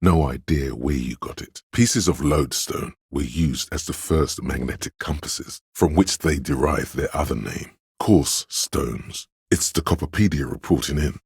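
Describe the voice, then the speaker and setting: An actor playing a pedantic encyclopedic inner voice in a video game, a man reciting obscure facts in a measured, erudite, matter-of-fact way. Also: no room sound, studio quality, exaggerated voice. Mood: informative, dry. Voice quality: very deep, gravelly, husky. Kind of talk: scripted game narration of trivia and facts.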